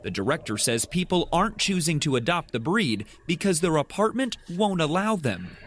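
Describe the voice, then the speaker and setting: A male reporter narrates a scripted TV news package with a very lively, swinging intonation. His replayed audio carries faint background noise. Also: a little high, moderate pace.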